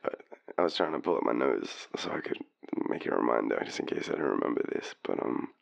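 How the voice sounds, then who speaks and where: A very low, heavily creaky Australian male voice from an actor playing a drunk young man with a crush. He stammers and apologises in an intimate audio roleplay, halting between murmured phrases. The pitch wanders widely, and the recording sounds slightly dull. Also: animated, heavily acted. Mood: sheepish, eager. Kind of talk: intimate boyfriend roleplay, as a late-night phone call.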